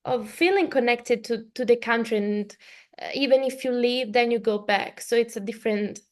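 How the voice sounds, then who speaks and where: A woman's voice: on the high side, normal speed. A woman from Italy, a native Italian speaker with an Italian accent, hosting a casual, reflective podcast conversation. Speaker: podcast host.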